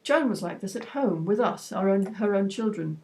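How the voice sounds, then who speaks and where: A woman with a warm, mellow voice reading her own personal essay aloud. She keeps a measured, reflective pace, with a touch of dry wit. Her pitch sits in an ordinary middle range. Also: expressive.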